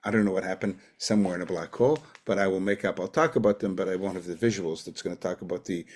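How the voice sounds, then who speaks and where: A man's voice: low voice, fairly even intonation, fast, darkish tone. Chatty Canadian man lecturing online. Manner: chatty, self-deprecating asides. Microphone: video call.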